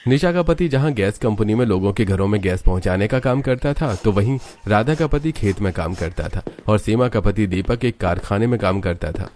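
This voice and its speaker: A man's voice: lowish voice, lively intonation, normal speed, hardly any pauses, a little mellow, a little hiss or hum. A man narrating a scripted cartoon story as its storyteller.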